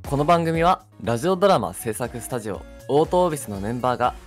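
A man's voice: mid-range voice, expressive, quick pace, faint music behind. A man co-hosting a live-streamed radio show in casual, easygoing, agreeable chat.

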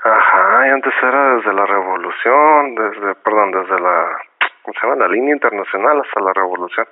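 A man's voice: on the low side, normal speed. A man from Costa Rica hosting a casual chat, full of slang and laughter, acting out the exchanges he retells. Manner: slangy, laughs often, acts out quoted exchanges. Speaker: podcast host. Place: Tijuana. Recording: phone-like narrow audio.

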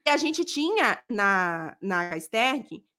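A woman in her thirties with a Brazilian accent, telling stories in a chatty, animated way as a podcast co-host. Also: mid-range voice, takes it slowly. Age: 30s. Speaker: podcast co-host and football broadcast journalist. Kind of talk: casual podcast chat.

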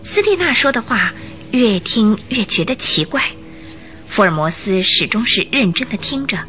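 Bright-voiced woman narrating a drama. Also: mid-range voice, lively intonation, normal speed. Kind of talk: scripted radio drama narration. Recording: radio drama with music behind.

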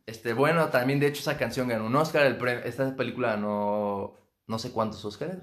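A Mexican man hosting a film podcast, chatting in a relaxed, joking, stop-start way. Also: mid-range voice, a little fast. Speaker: podcast host. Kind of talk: casual chat.

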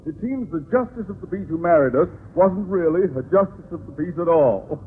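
An actor playing a blustery middle-aged school principal in a vintage radio sitcom, theatrical and emphatic. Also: male voice, high-pitched, animated, brisk. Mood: mock solemn. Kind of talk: 1940s-50s radio sitcom acting. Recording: noisy, narrow-band old radio recording.

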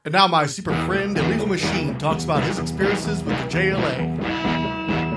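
A man's voice: a little high, big pitch swings, normal speed, loud music behind the voice. A man speaking as a podcast host, moving between announcements and casual interview talk. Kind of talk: casual interview and show credits.

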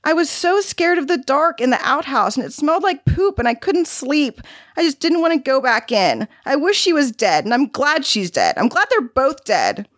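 A woman's voice: high-pitched, very animated, normal speed, a bit bright, dry recording, clean studio sound, pitched above the performer's own voice. A woman voicing a sulky, frightened young boy with a temper, blurting in a childlike, petulant way for a narrated story. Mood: scared, defiant.

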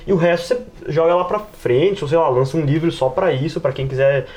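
Fast-talking, higher-pitched Brazilian man. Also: darkish tone. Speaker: podcast host. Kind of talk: casual podcast chat about tabletop RPGs.

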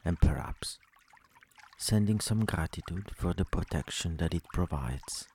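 A man leading a guided sleep meditation, speaking slowly and soothingly with long pauses between phrases. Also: low-pitched, animated, darkish tone, slight background noise.

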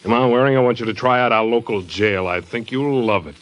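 An actor playing a gruff, sarcastic police officer in an old-time radio crime drama. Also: male voice, mid-range voice, brisk, close to an everyday voice. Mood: taunting. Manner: sarcastic, blunt, needling. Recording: noisy old radio recording, clicks.

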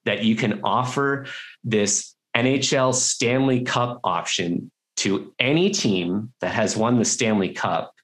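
Male interview host, Canadian accent, chatty and informal, on the low side. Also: takes it slowly, fairly bright tone, rough recording. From Toronto, Canada. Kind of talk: casual interview chat with quiz questions.

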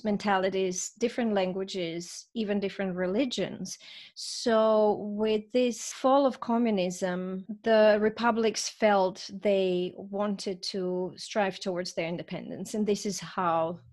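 A woman in her forties from Croatia speaks with a Croatian accent and a mid-range voice. She tells her own story conversationally, as an interview guest, repeating words for emphasis. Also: medium pitch. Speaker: podcast guest, author.

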